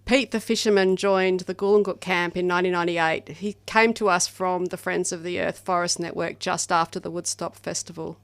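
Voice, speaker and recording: A woman's voice: lowish voice, steady tone, moderate pace, few pauses, no room sound. Australian female reader, scripted memorial narration. Resonant tone, close dry sound. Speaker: podcast reader of memorial tributes. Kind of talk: scripted tribute reading.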